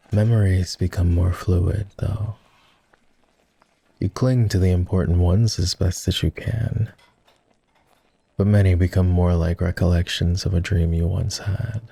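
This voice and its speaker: A man plays a courteous vampire with a very low, gravelly voice. He speaks in formal, measured phrases, slowly and softly, as in an intimate ASMR roleplay. He is gently teasing and modest about himself. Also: full character voice. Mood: wistful. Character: polite, self-deprecating, gently teasing ancient male vampire.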